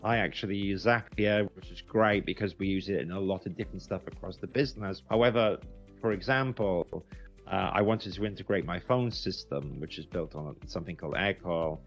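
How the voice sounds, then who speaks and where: British man, very low pitch, conversational explainer, casual testimonial style, slightly dull recording, music behind. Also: fairly quick. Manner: conversational, explanatory, emphatic word repetition. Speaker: recruitment agency founder giving a software review.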